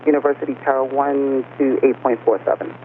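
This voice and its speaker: A man's voice: mid-range voice, level tone, fairly quick. A man working as an air traffic controller, giving clipped, procedural instructions over aviation radio. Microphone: aviation radio link. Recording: tinny, noisy aircraft radio transmission.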